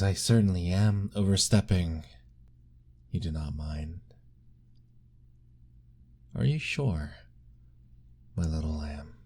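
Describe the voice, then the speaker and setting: A man doing a deep, courtly vampire lord. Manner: formal, old-fashioned, gently pleading; soft, intimate, often whispered. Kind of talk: ASMR roleplay audio.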